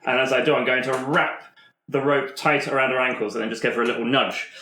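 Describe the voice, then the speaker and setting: A man's voice: medium pitch, steady tone, quick pace, pushed and bright, slight background noise. A man playing a tabletop game, improvising a description of his character's actions, recorded in a roomy space.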